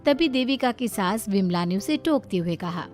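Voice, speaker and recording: A woman's voice: mid-range voice, lively intonation, moderate pace, a little mellow, soft background music. A woman narrator reading a scripted moral story in a dramatic storytelling style.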